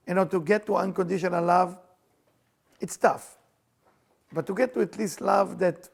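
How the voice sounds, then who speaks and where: A middle-aged man with an Israeli accent, hosting a casual chat and telling personal stories in a playful, animated way, often laughing as he talks. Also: high-pitched, vocal fry. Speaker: spiritual teacher and podcast host.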